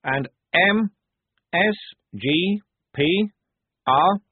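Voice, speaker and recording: A man voicing a pompous, fussy young army lieutenant with a prim, self-important, high-strung manner. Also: animated, very slow, breathy edge, no room sound, heavily acted. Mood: fussy, commanding. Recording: tinny phone-like dry sound.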